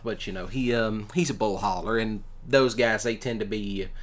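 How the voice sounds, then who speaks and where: A man improvising a casual boyfriend roleplay, talking in a folksy, halting way with drawn-out pauses. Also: on the low side, fairly bright tone. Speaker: ASMR roleplay performer.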